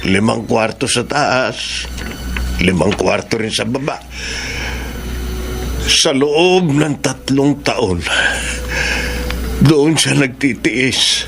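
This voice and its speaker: A Filipino man acting in a radio drama as a weary, guilt-ridden middle-aged father, speaking in a sorrowful, halting, heartfelt way. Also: mid-range voice, gravelly, noisy background. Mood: troubled, pitying.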